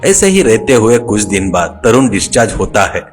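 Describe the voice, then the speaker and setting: A man's voice: lowish voice, very animated, moderate pace, soft background music. A man telling a comic story as its narrator.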